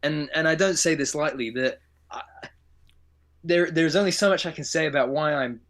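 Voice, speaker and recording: A man's voice: on the high side, normal speed, fairly bright tone. A man co-hosting a live stream, speaking earnestly and from the heart in long run-on sentences. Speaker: co-host. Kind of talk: casual live stream chat.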